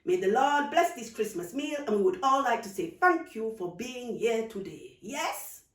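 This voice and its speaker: An exuberant aunt acted out by a woman reading a storybook, teasing and commanding everyone with playful dialect turns of phrase. Her pitch jumps high and swings widely, in a roomy recording with some distance from the microphone. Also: animated, moderate pace, natural voice. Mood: warm, ceremonious. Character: exuberant, teasing adult aunt who loves Christmas.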